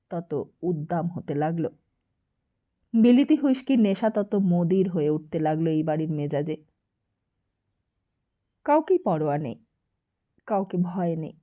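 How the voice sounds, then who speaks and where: A woman reading literary fiction as an audiobook narrator, in an expressive scripted storytelling style. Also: fairly low pitch, lo-fi sound.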